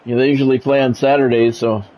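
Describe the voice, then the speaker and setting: An American man doing sports play-by-play for a video game stream, mixing game calls with relaxed, casual chat to viewers. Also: mid-range voice, fast talker. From United States. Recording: dull lo-fi audio with faint noise.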